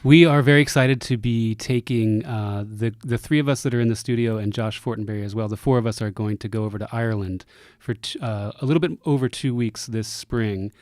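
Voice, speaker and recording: A man's voice: on the low side, a little fast, hardly any pauses, darkish tone, no room sound, studio quality. A man chatting casually as a musician guest in a radio interview. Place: radio studio.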